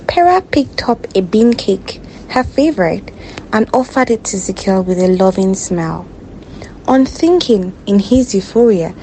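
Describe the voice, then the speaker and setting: A woman storyteller reading a scripted folktale in a dramatic, measured way. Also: medium pitch, warm tone, a little hiss or hum, rough recording.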